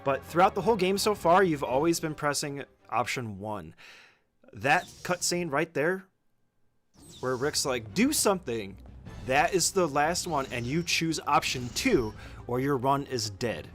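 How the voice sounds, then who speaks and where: A man's voice: a little high, lively intonation, a little slow, a bit bright, soft background music. A man giving an informal, casual walkthrough commentary as a speedrunner teaching a game route, swearing now and then.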